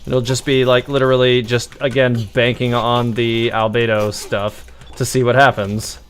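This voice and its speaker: A man's voice: mid-range voice, flat delivery, moderate pace. A man giving casual, rambling live gameplay commentary, thinking aloud as he streams. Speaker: game livestreamer.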